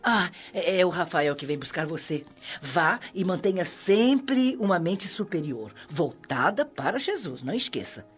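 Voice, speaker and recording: An actress playing a wise, kindly elderly spirit mother in a Brazilian radio drama, speaking in a maternal, earnest, gently exhorting way. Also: fairly low pitch, very animated, a little slow, husky, highs cut off, soft background music, exaggerated voice. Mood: affectionate, encouraging.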